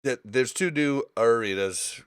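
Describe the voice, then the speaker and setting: A man's voice: mid-range voice, a little slow, clear, resonant tone, dry recording, clean studio sound. A man hosting a casual gaming podcast, explaining things in an informal, rambling way. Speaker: podcast host.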